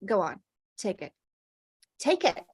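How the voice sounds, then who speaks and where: A woman's voice: medium pitch, very animated, takes it slowly, a little mellow, breathy edge, no echo, clean studio sound, exaggerated voice. A woman playing a teasing, melancholy older female ghost in an acted script read, with wry, sighing, dramatic delivery. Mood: coaxing, sly.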